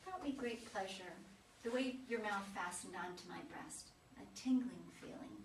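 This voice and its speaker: A woman's voice: mid-range voice, close to an everyday voice. Woman playing forgetful elderly mother, echoey, distant. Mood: wistful reminiscing. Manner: halting, questioning, forgetful. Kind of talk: staged play reading. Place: echoey room at a live play reading. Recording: distant, echoey room with loud noise.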